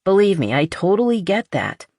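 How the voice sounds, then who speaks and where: A woman voicing a blunt, honest, skeptical but loyal best friend in an audiobook, with a dry teasing manner and husky voice. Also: mid-range voice, very animated, normal speed, a little mellow, no echo, voice pushed up. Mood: frank.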